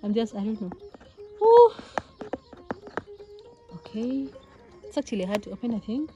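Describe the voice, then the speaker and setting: Young woman from Uganda, vlogger, giggly and breathless, voice leaping high and low, recorded outdoors, faint music under her. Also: measured pace. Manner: giggly, breathless with excitement, frequent laughs and exclamations. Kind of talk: excited unboxing vlog. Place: outdoors in a rural village yard, seated on a mat.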